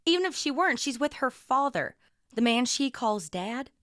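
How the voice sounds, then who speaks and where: A woman's voice: high voice, very animated, normal speed, a bit bright, no room sound, studio quality. A woman playing a blunt, protective, no-nonsense sister with an American accent in a naturalistic radio drama. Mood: blunt, skeptical. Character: blunt, protective adult sister, Texan mother.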